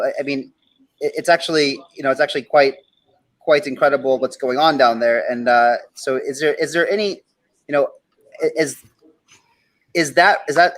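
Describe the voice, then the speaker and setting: A man's voice: mid-range voice, a bit bright. A male host with an ordinary mid-range voice, speaking casually. He rambles through his questions and stops and restarts them often, with plenty of filler words, on a video call. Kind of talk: casual interview talk.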